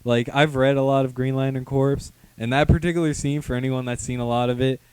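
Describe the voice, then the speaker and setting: A man's voice: mid-range voice, steady tone, brisk, a little mellow, clear, resonant tone, no echo. A man co-hosting a casual review show, explaining his opinions on comics in a wry way. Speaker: podcast co-host and comics reviewer.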